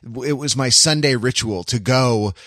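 A man's voice: mid-range voice, moderate pace, a bit bright, no echo, studio quality. A man hosting a casual film discussion podcast, speaking in a wry way with mock-formal asides. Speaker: podcast host.

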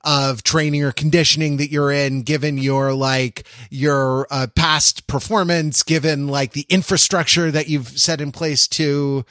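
A man chatting casually as a podcast co-host, thinking aloud in a halting, reflective way and often interrupting himself. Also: fairly high pitch, fairly even intonation, a bit bright, dry recording, studio quality. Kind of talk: casual panel chat.

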